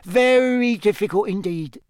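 A man voicing a small, eager male house-elf servant with a squeaky, deferential voice in an audiobook. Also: measured pace, a little mellow, clear, resonant tone, no room sound, clean studio sound. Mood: happy, deferential. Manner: speaks of himself in third person, servile. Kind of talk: audiobook character voice.

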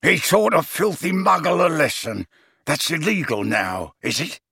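A man's voice: on the high side, very animated, a little slow, vocal fry, bright, forceful tone, husky, no room sound, studio quality, pitched above the performer's own voice, exaggerated voice. A man voicing a vicious old wizard father in an audiobook, bellowing and jeering with contempt. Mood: angry, scornful. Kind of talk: dramatised audiobook character voice.

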